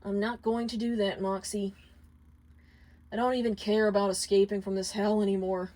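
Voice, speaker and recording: A woman voicing a calm, protective male demon in a fanfiction reading, speaking softly, seriously and with formal wording. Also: medium pitch, lightly acted. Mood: calm, firm. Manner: soft, measured, formal.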